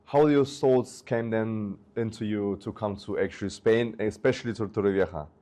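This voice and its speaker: A man's voice: fairly low pitch, moderate pace, dark tone. A young man hosting an interview, asking questions with frequent restarts. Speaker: host, interviewer.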